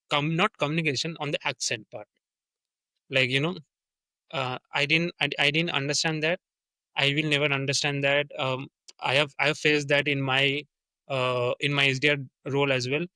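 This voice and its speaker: A man's voice: mid-range voice, sharp tone, dry recording, rough recording. A young man with an Indian accent, a sales rep talking through his work in a casual interview, earnest and full of restarts and fillers. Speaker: sales development representative, guest.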